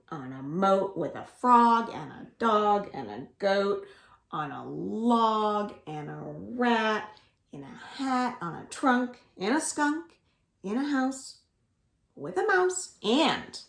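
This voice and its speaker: A woman hosting a children's storytime from home, reading aloud expressively with deliberate, dramatic emphasis for young listeners. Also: a little high, creaky. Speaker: library storytime host. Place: indoors at home. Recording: roomy, echoing home recording.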